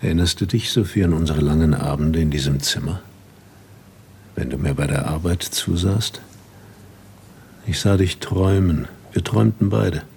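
An aging, devoted husband in a literary radio drama. His voice is deep and very breathy-rough. He speaks gravely and gently, weighing each phrase. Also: moderate pace, long pauses, exaggerated voice. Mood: wistful. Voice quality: husky.

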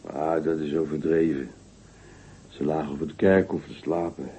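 A man's voice: extremely low pitch, fairly even intonation, moderate pace, mellow, breathy edge, full character voice. An actor playing a sleepy, lazy, boastful folk-tale man in a radio play, drowsy and unbothered. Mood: drowsy boasting. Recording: dull, noisy old radio recording.

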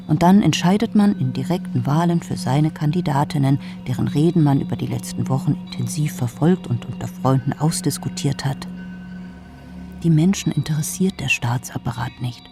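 Low gravelly guy musing in a scripted radio monologue, soft music under him. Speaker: essayistic narrator voice.

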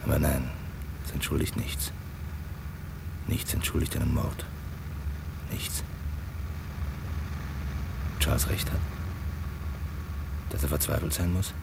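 Brooding, conscience-torn man, very low voice, halting self-talk, old radio thriller, clear car noise behind. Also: steady tone, full character voice. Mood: brooding, resigned. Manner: halting inner monologue, muttering to himself. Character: brooding, tormented man agonizing over reporting a murderer. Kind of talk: vintage German radio crime play acting.

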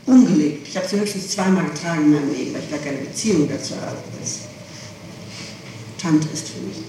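A woman's voice: low voice, brisk, creaky, dark tone. A woman answering questions in an interrogation, defensive and insistent, often repeating herself. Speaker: interrogated witness. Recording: distant, very echoey, noisy archival tape.

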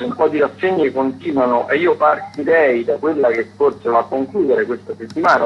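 A man of middling pitch gives a loose, unscripted listings round-up for radio. He hesitates and digresses, and the sound is somewhat dull with a faint hum. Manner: hesitant, digressive, frequent self-corrections. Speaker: theatre critic, radio contributor.

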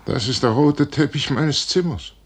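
An actor playing a proud, weary, fatalistic aging general in a grand, measured 1950s radio drama style. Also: male voice, fairly high pitch, slightly rough. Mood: dismissive. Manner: measured, grand, dismissive, declaiming. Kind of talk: 1950s German radio drama stage acting. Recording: noisy 1950s radio recording.

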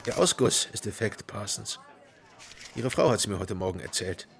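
An actor playing a wary, brooding clerk in a radio drama, with a husky, breathy voice and a restrained, musing delivery. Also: male voice, fairly low pitch, expressive, normal speed. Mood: guarded, dry. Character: wary, brooding male Party clerk, quietly observant.

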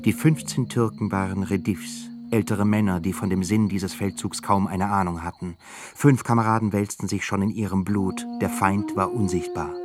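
A man narrating a radio drama in a measured, grave way. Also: low voice, fairly even intonation. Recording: music bed under voice. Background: music.

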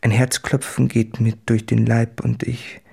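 Ein Schauspieler spricht in einem literarischen Hörspiel einen rastlosen, von Zweifeln gequälten, verliebten Schriftsteller, innig und nach innen gekehrt. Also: male voice, mid-range voice, level tone, measured pace, vocal fry, warm tone, slightly rough, exaggerated voice. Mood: anguished longing. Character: restless, anguished, self-doubting male writer in love. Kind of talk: literary radio play monologue.

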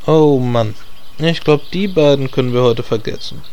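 A man's voice: medium pitch, normal speed, darkish tone, clear, resonant tone, muffled recording, lightly acted. A man playing a sensible, exasperated magician who leads a fantasy party in a comedy audio drama, with a dry delivery.

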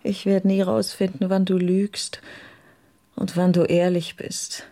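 An actress playing an emotional, distrustful, wounded woman in a German radio drama, speaking intensely and haltingly. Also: fairly low pitch, little pitch movement, mellow. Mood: resigned.

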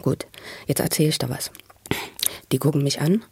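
A man with a husky, unclear voice tells a personal story out loud. He speaks intensely and spontaneously, stumbling and repeating words as he goes. The creaky edge shows in his phrases. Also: fairly high pitch, steady tone, moderate pace, no echo. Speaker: interviewee telling his life story.

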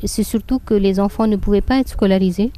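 A woman's voice: medium pitch, fast talker, warm tone. A woman from northern India whose first language is Hindi, explaining things in a conversational way with frequent hesitations and restarts, recorded outdoors in a public garden. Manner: hesitant, many fillers and restarts. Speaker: guide and interpreter.

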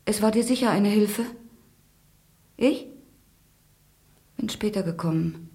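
An actress playing a grieving, bitter grand duchess in a German radio play, halting and often sinking into whispers. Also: female voice, mid-range voice, warm tone. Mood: anguished. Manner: halting, breathy delivery dropping into whispers. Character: grieving widowed Russian grand duchess, pleading, bitter, devout.

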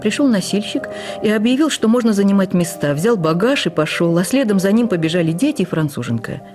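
A woman reading a memoir for radio as expressive, intimate literary narration. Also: mid-range voice, a little fast, over music.

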